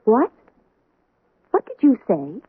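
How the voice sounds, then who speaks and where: A busy, formal head doctor with a curt manner and an idealistic streak. His pitch moves a lot and his voice is a bit breathy. The sound is tinny like an old radio broadcast. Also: huge pitch swings, takes it slowly, no echo, heavily acted. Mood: brisk.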